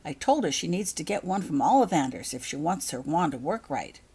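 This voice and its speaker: A woman's voice: low-pitched, animated, brisk, warm tone, slightly rough, exaggerated voice. A woman voicing a blunt, sceptical schoolboy in a storybook, matter-of-fact and objecting. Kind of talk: storybook character voice.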